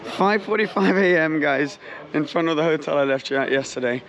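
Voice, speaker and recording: A man's voice: a little high, fairly quick, fairly bright tone. A young man talking casually to a handheld camera in a car and outdoors, chatty and upbeat, with his voice dropping at the ends of phrases. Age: young adult. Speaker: car vlogger. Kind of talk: casual travel vlog to camera. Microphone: handheld action camera at arm's length. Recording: car and road noise behind.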